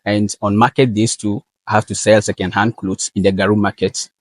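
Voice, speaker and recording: Young ghanaian guy telling his story in an interview, earnest, lots of ums, lowish voice, dry sound. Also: little pitch movement, no echo. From northern Ghana. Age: young adult. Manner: earnest and measured, with frequent fillers. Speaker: guest, valedictorian student. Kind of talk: interview answers.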